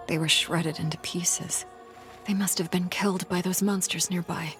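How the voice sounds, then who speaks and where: Slow-talking lady explorer scientist, determined, kinda breathy, game cutscene with music. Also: on the low side, fairly even intonation, a bit bright. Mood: somber, determined. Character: determined, wary woman scientist stranded on an alien world. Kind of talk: video game cutscene acting. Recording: music behind the voice.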